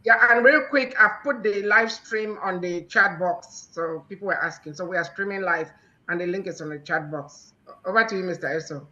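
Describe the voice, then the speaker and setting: A moderator running an online session, brisk and full of fillers. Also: mid-range voice, sharp tone. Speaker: webinar moderator. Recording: muffled video-call audio.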